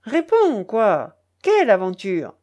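A woman's voice: on the high side, wildly swooping pitch, takes it slowly, mellow, full character voice. Woman acting as male smuggler, brutal, menacing, harsh growled orders, mocking, storybook drama. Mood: stern, menacing. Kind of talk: dramatic audiobook character voice.

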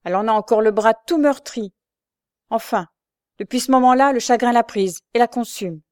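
A woman's voice: mid-range voice, brisk, warm tone, smooth, no room sound, clean studio sound, voice pushed up, lightly acted. A woman voicing an anxious, protective knife-grinder in a story, earnest and pleading, with simple working-class speech. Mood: emboldened. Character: anxious, protective knife-grinder, meek younger brother growing bold.

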